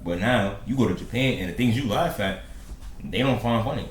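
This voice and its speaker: A man's voice: lowish voice, fairly quick, reverberant, a little hiss or hum, rough recording. A man hosting a reaction video, talking in laid-back slang with lots of fillers. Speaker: reaction host. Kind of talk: casual reaction commentary.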